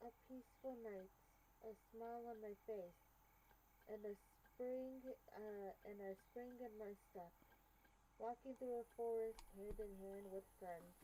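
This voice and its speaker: A woman streamer reading game text aloud with deliberate, expressive delivery. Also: medium pitch, a little hiss or hum, rough recording.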